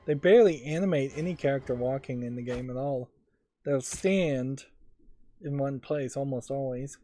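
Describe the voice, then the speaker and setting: A man with a dark, mellow voice at a middle pitch commentates casually on a game. He thinks aloud in an analytical way, pausing as he goes, with faint music behind him. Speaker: let's play host.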